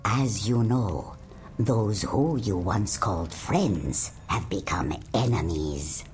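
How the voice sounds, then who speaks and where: A woman voicing an ancient, all-seeing female prophet in a video game, speaking in a breathy, whispery way with slow, solemn pronouncements. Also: extremely low pitch, lively intonation, a little mellow, full character voice. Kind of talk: video game cutscene voice acting. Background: orchestral game music.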